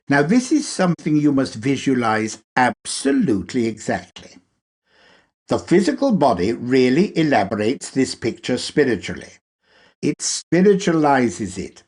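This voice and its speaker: A man reading a spiritual lecture aloud in a deliberate, measured way, with emphatic pauses. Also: medium pitch, darkish tone. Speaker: reader.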